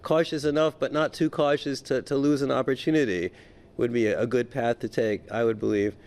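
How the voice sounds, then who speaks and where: A man's voice: mid-range voice, steady tone, a little fast, darkish tone. A man giving unscripted political commentary as an interview guest, stammering and often repeating his words as he goes.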